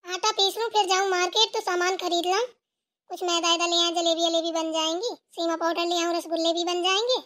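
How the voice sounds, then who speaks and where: A performer voicing a busy, hospitable older village aunt in a Hindi cartoon, brisk and insistent. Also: very high-pitched, fairly even intonation, bright, forceful tone, dry recording, clean studio sound. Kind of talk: Hindi family cartoon dialogue.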